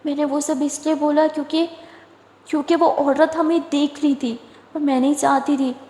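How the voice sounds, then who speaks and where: A woman voicing a caring young woman who softly apologizes and calms her friend. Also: high voice, moderate pace, reverberant, in a large hall, close to an everyday voice. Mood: tender. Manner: soft, coaxing.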